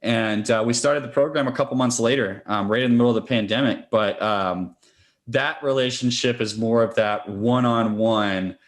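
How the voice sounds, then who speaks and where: Male podcast guest, mid-pitched voice, conversational, step-by-step explainer, investor. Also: normal speed. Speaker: interview guest, real estate investor.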